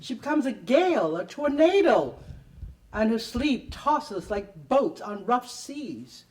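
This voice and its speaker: A woman giving a deliberate, theatrical poetry reading with pauses, at a live event. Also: on the high side, very animated, darkish tone, recorded from a distance. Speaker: performer reading own poetry. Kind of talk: dramatic poetry reading to an audience.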